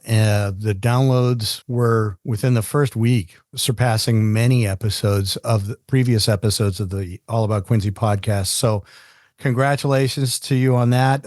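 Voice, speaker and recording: A man's voice: mid-range voice, a little mellow, no echo, studio quality. A man hosting a podcast, chatty and upbeat, talking about voice and recording. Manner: upbeat, chatty, spells out names slowly. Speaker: podcast host. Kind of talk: casual podcast interview. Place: recording studio.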